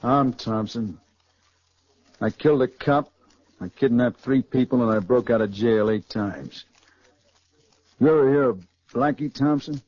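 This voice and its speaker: An actor playing a vengeful, boastful American gangster in a 1940s radio crime drama. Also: male voice, mid-range voice, measured pace, pauses often, no echo, close to an everyday voice. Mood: bitter boasting. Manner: tough, boastful, menacing. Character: vengeful, cop-hating American gangster jailbreaker. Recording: noisy, muffled 1940s radio recording.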